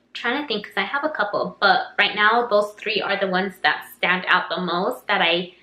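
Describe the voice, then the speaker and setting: A woman's voice: medium pitch, sharp tone. A young woman, a native Spanish speaker, chatting casually through a get-to-know-me Q&A, rambling with lots of "like" and "um". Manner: chatty, rambling, heavy use of "like" and "um". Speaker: YouTuber. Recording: echoey room.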